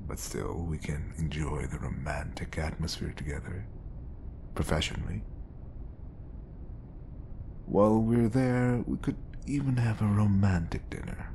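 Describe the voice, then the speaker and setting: A man playing a smooth, scheming, obsessive bodyguard who feigns polite professionalism in an audio roleplay. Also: low voice, fairly even intonation, measured pace, pauses often, warm tone, a little hiss or hum. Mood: coaxing.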